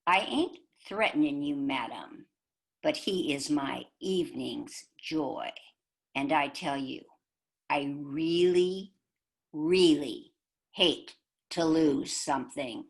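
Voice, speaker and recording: A woman's voice: low voice, takes it slowly, creaky. A woman telling a joke and reciting a poem expressively for a community readers theater show over a video call.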